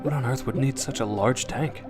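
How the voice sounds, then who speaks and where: A man's voice: medium pitch, moderate pace. Suspenseful male radio drama narrator over music. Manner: suspenseful, expressive. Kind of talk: dramatic second-person scripted narration, old-time radio style.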